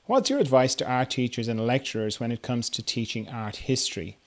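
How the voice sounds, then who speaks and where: A man's voice: mid-range voice, lively intonation, a little fast. A man hosting a casual interview, chatty and informal, with a slightly hesitant delivery. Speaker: podcast host. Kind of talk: casual interview chat, reading listener questions.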